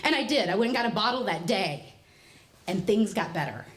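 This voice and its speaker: A woman's voice: medium pitch, lively intonation, fairly quick. A young adult woman performing a wry, exasperated comic monologue from a stage. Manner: wry, exasperated storytelling, voicing others in quotes. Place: live stage before an audience.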